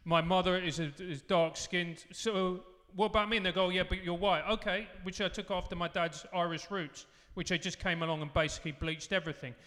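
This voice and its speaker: A man with a high, bright and sharp voice whose first language is Spanish tells an animated story on stage and plays out both sides of each quoted exchange. Behind him the hall rings with a long reverb. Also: brisk, hardly any pauses. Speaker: comedian guest. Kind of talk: live on-stage anecdote. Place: live venue stage before an audience.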